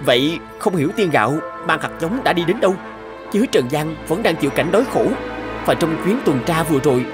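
A man voicing an earnest, dutiful old cloud god in an animated fairy tale, formal and respectful. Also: a little high, brisk, lightly acted. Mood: urgent, respectful. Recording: music under the voice.

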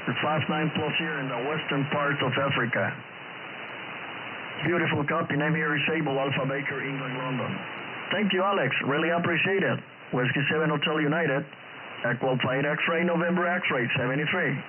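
A man's voice: on the high side, moderate pace, phone-quality sound. A courteous man, an amateur radio operator, trading call signs in casual exchanges over a shortwave radio transmission. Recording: hissy, band-limited shortwave radio signal. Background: radio static hiss.

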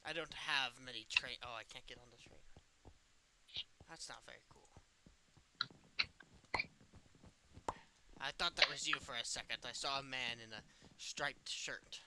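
A man's voice: medium pitch, normal speed, close to an everyday voice. A man voicing an evil, smug animatronic puppet in an improvised game roleplay, with a taunting, blasé manner. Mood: playful. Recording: noisy home mic with clicks.

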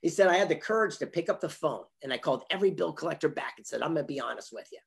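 A man in his fifties with a husky voice, telling motivational anecdotes emphatically on a video call and acting out the dialogue. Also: a little high, animated, rapid-fire. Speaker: motivational speaker and author, interview guest. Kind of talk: animated motivational storytelling in an online interview. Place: indoor home office with memorabilia wall. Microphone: video call, computer mic.

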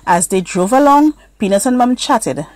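A woman's voice: fairly high pitch, lively intonation, normal speed, darkish tone, no room sound. A woman narrating a children's story as a scripted read-aloud.

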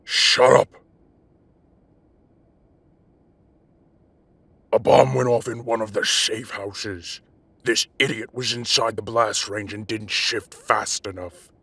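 A man playing a commanding, impatient but protective half-dragon mafia boss in an audio roleplay, with a rough voice and a bossy, scolding manner. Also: fairly low pitch, fairly even intonation, a little slow, pushed and bright, no echo, heavily acted. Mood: urgent, angry.

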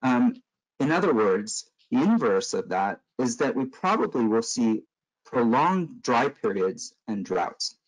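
A middle-aged man from Los Angeles with an American accent, giving a conference lecture over slides in a measured, explanatory way with frequent fillers. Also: mid-range voice, big pitch swings, rough recording. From Los Angeles, California.

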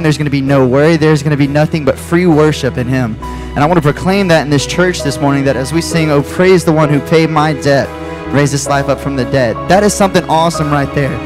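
Worship leader over band music. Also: male voice, on the high side, poor audio. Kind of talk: leading congregational singing. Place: church stage, large hall.

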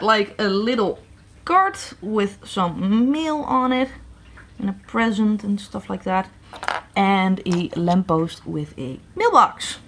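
A woman from the Netherlands chatting casually through a toy review, hesitant with many fillers and restarts. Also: mid-range voice. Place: indoor room at a tabletop set.

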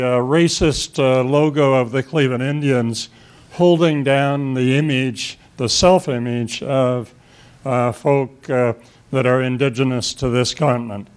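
Smooth-voiced man asking from the floor. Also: medium pitch. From Canada. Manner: rambling, hedging. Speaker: audience questioner. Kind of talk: question from the floor.

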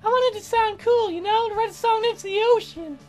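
Man doing a very high, melodramatic young woman. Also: brisk, faint music behind. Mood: earnest, playful. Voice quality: falsetto. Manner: melodramatic, gushing. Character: young woman songwriter, hopeless romantic, dramatic, excitable.

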